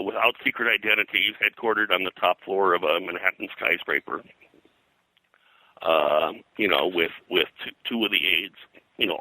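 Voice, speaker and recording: An older man speaking as an interview guest, reminiscing in a halting, digressive way and often clearing his throat. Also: low voice, sharp tone, no echo, low-quality recording. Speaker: guest, pulp and comics historian. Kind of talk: interview conversation, reminiscing about his work.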